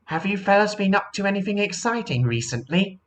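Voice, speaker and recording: A man's voice: sits high, animated, fairly quick, pitched above the performer's own voice. A man voicing a friendly female seaplane in a storybook reading.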